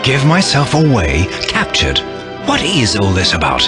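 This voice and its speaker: A man's voice: mid-range voice, huge pitch swings, a little slow, over music. A man playing a serious, troubled military secret agent in a video game cutscene.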